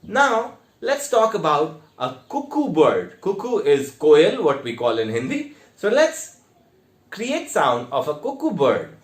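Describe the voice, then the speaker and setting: A man with an Indian accent giving a theatre lesson in a deliberate, step-by-step way with emphatic stressed words. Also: a little high, wildly swooping pitch. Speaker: theatre teacher. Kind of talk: instructional online lesson with demonstrations. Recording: echoey, reverberant room.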